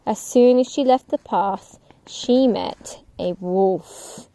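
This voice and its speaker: A woman's voice: medium pitch, slow pace, mellow. A woman telling a story to young children as a storybook reader.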